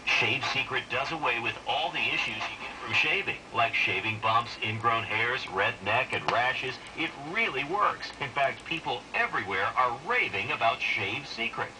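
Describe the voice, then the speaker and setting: Heavy noise surrounds a male announcer delivering a scripted radio commercial. He sits at a middle pitch and sounds distant, as if from a radio set across the room. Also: expressive, moderate pace, hardly any pauses.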